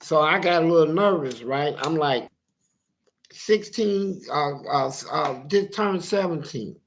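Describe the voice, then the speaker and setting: A man with a gravelly voice telling a story casually, in animated, profane street talk and acting out the dialogue. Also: a little high, unhurried, a little hiss or hum. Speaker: interviewee telling his life story.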